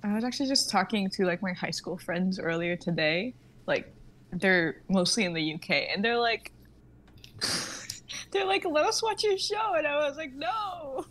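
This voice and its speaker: A young woman chats casually with a playful, teasing manner. Her pitch is average for a woman, and there is faint noise behind her voice. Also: animated, unhurried, a bit bright. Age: young adult. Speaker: co-host of an online readers' stream. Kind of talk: casual group chat.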